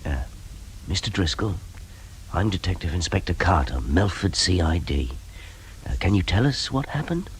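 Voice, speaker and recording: A man's voice: deep voice, very animated, moderate pace, slight background noise, rough recording. An actor playing a weary, dry detective inspector who narrates his case in a radio crime drama. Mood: businesslike.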